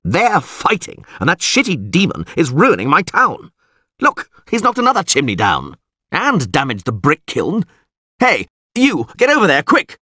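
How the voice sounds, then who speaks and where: A man's voice: on the high side, wildly swooping pitch, a little slow, sharp tone, no room sound, studio quality, pitched above the performer's own voice, heavily acted. A man voicing a flustered, bossy town official in an audiobook, grumbling and barking orders. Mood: exasperated. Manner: blustering, grumbling. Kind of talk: audiobook character voice.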